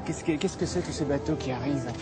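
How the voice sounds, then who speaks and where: A man's voice: fairly high pitch, fast. A man from France presenting a travel show, interviewing locals with playful curiosity and laughs. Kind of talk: casual on-location interview. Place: outdoor harbour festival. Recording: loud crowd and music behind.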